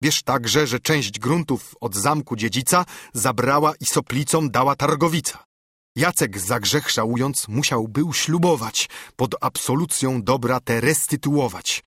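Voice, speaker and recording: A man acts out a brooding monk in a storybook told in verse. He is earnest and urgent, admonishing as he reasons. His voice has a husky edge in a dry recording. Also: medium pitch, a little slow, fairly bright tone, dry recording. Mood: pleading. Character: brooding, urgent monk, scolding and pleading with a friend. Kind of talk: dramatised audiobook reading of verse.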